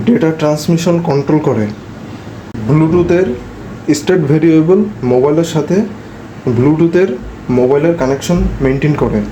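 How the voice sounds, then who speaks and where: A man's voice: on the high side, warm tone. A man giving a plain, step-by-step spoken technical explanation as the presenter of a hobby project. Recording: noisy amateur recording with ambient sound.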